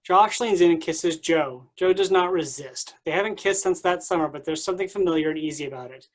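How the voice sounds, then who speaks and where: A man's voice: on the high side, brisk. A man reading out stage directions as scripted narration for a play.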